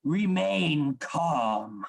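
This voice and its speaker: A man's voice: fairly high pitch, lively intonation, extremely slow, gravelly, full character voice. A man acting in a radio play as a domineering, scheming Victorian anatomy assistant, with commanding, formal, theatrical speech. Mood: urgent.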